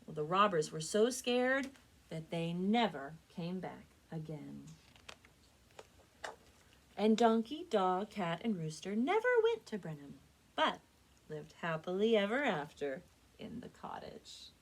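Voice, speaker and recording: Lively, expressive woman librarian. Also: on the low side, takes it slowly, a little hiss or hum. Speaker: children's librarian and storyteller. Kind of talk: children's storytelling and book recommendations.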